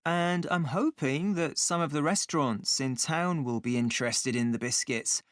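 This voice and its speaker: A man's voice: a little high, moderate pace, dry recording, clean studio sound, close to an everyday voice. A man playing a keen young food science student in a scripted discussion.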